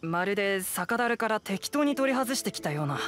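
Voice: medium pitch, animated, normal speed, fairly bright tone, close to an everyday voice. An actor plays an earnest young male adventurer in an anime game. The voice is eager and moves freely up and down, with music behind it. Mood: doubtful.

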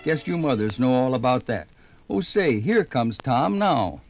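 A homespun old working man narrating a 1950s radio drama. His delivery is animated, chatty and plain-spoken, with a creaky, gravelly edge. Music plays behind him on the old record. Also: medium pitch, unhurried. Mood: cozy, chatty. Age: older. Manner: chatty, folksy, addressing listeners directly.

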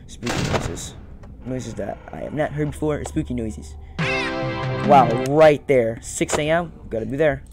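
A young man does casual gaming commentary at a slow pace, with a creaky edge to his voice. He mutters to himself and repeats phrases while game music plays clearly behind him. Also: mid-range voice, animated. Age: young adult. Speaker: gaming YouTuber. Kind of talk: casual let's-play commentary.

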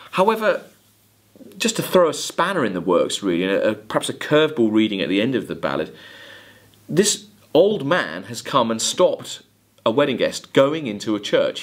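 A man giving a literature lecture, emphatic, halting and deliberate as he corrects himself. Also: mid-range voice. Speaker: college English literature lecturer. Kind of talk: lecture with quoted lines of verse. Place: indoors, recorded video lecture at a desk.

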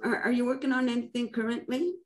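A woman's voice: on the high side, fairly even intonation, fast, a little mellow. An older woman from Montreal hosting an interview over a video call, asking easygoing, conversational questions. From Montreal, Canada. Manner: friendly, conversational questions with brief affirmations. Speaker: interview host. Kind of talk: casual interview.

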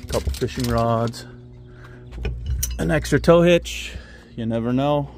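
A man chatting casually outdoors, relaxed and matter-of-fact, explaining things as he goes. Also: medium pitch, a little slow. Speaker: vlogger. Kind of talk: casual chat. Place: outdoor parking lot by a vintage car.

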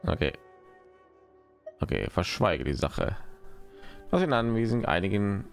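A man's voice: fairly low pitch, sing-song, measured pace, gravelly, faint music behind. A man giving casual live commentary as he streams a game.

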